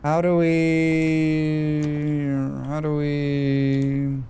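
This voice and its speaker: A man's voice: a little high, monotone, very slow, dark tone, faint music behind. A man with a scratchy voice, muttering and thinking aloud as he streams a game. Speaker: streamer playing a demo. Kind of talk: live gameplay commentary.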